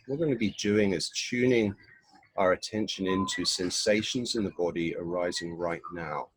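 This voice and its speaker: A man leading a guided body scan meditation in an unhurried, instructional way, pausing between short phrases. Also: low-pitched, breathy edge, no room sound, poor audio.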